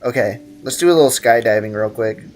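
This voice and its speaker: A man's voice: mid-range voice, fairly quick, a little mellow, slight background noise. A man hosting a casual gaming livestream, talking to his chat in playful slang and prone to exaggerated outbursts. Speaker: live streamer hosting a gaming stream. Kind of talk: casual gaming livestream chat, reading viewer comments aloud.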